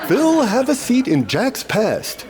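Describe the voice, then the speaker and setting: An actor playing a gushing 1950s television tribute host, with emphatic stretched-out introductions. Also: male voice, very high voice, wildly swooping pitch, slow, heavy background noise, heavily acted. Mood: announcing, solemn. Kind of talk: 1950s broadcast presenting.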